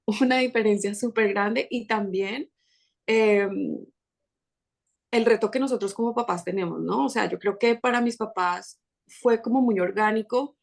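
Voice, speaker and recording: A Colombian woman with a Colombian accent, talking as a podcast guest in an animated, chatty way with quick restarts. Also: mid-range voice, gravelly. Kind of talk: casual conversational interview.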